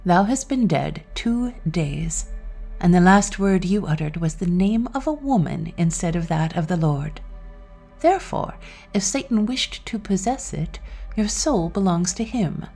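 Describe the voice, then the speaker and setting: A woman's voice: fairly low pitch, lively intonation, unhurried, warm tone, slightly rough, faint music behind, heavily acted. Woman voicing a sardonic, boastful devil. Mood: menacing, commanding. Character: sardonic male devil, boastful, falsely friendly tempter. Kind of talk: dramatized storybook reading.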